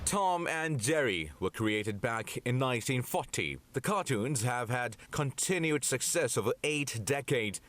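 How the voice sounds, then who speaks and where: A male reporter narrating a scripted entertainment news piece in a lively voice-over. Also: medium pitch.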